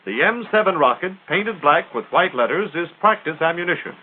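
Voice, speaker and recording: A tinny, narrow-band 1940s film soundtrack carries an American man narrating military instruction. His voice sits fairly high and swings with lively emphasis. He delivers it in a punchy, instructional style. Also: a little fast.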